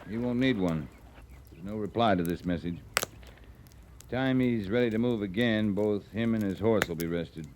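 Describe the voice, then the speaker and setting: Man doing low voiced american cavalry captain, gruff, dry, commanding, old radio western with some hiss. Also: normal speed, close to an everyday voice. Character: gruff, steady male frontier cavalry captain.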